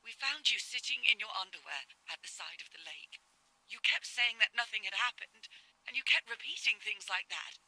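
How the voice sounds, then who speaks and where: A woman's voice: medium pitch, lively intonation, normal speed, bright, forceful tone, no room sound, poor audio. An actress playing an anxious middle-aged mother who pleads with her daughter in distress, in dramatic game dialogue. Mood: worried, explaining.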